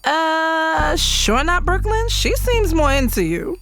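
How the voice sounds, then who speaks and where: A woman's voice: very high voice, big pitch swings, slow pace, fairly bright tone, no echo, studio quality, soft background music, heavily acted. A woman acting a playful, teasing lover in an audio drama.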